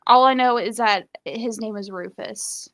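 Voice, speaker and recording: A woman's voice: a little high, unhurried, a bit bright, dry recording, clean studio sound. A woman co-hosting a casual book discussion on a live stream, giving blunt, informal opinions. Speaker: co-host of a book readalong live show.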